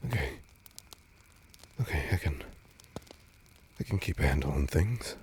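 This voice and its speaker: A man playing a nervous, tender vampire in an intimate audio roleplay, speaking softly and hesitantly to the listener as he resists his hunger. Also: a bass voice, animated, unhurried, slight background noise. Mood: hesitant, caring. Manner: hesitant, stammering, soft-spoken.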